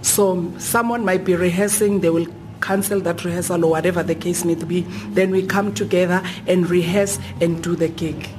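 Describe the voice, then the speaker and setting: A woman's voice: fairly low pitch, fairly even intonation, normal speed, background noise. A woman, an a cappella singer, explaining things plainly in an interview. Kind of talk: casual interview talk.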